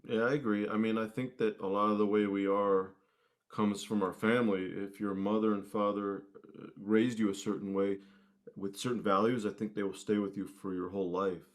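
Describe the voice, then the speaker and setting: Low-voiced man in casual conversation. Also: level tone, moderate pace. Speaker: guest musician. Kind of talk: casual chat.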